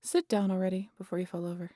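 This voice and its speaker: A woman's voice: on the low side, little pitch movement, quick pace, mellow, dry recording, natural voice. A woman playing a teasing, sardonic immortal vampire in an audio roleplay, scolding in a dry, casual, sweary way with protective concern. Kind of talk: intimate audio roleplay acting.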